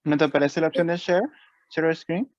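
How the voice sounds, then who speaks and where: A man with a fairly high voice asks quick, informal questions on a video call. The sound is dry and close, and a little muffled and lo-fi. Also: steady tone, no echo. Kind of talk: casual chat.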